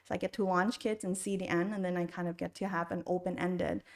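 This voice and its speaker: A woman's voice: fairly low pitch, flat delivery, fast talker. A middle-aged woman with an American accent, answering interview questions conversationally as a podcast guest. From Thailand, then the United States from age six. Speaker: podcast guest, school university counselor.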